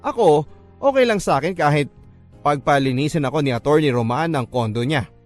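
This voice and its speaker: A man's voice: a little high, expressive, a little fast. Filipino male radio storyteller over background music. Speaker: radio storyteller reading a listener's letter. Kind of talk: dramatic first-person scripted narration, classic radio storytelling. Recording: music bed under the voice.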